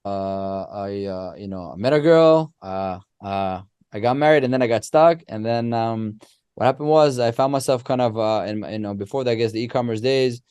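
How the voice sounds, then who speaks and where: A man, a podcast guest who explains ideas through animated, restart-filled stories. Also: on the low side, moderate pace, smooth, no room sound, clean studio sound. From Israel. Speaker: guest, company co-founder. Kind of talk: casual podcast interview.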